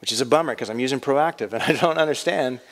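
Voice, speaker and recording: A man's voice: medium pitch, fast, background noise. A man in his thirties giving a chapel talk to college students, conversational and self-deprecating. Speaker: chapel speaker. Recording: long reverb of a hall.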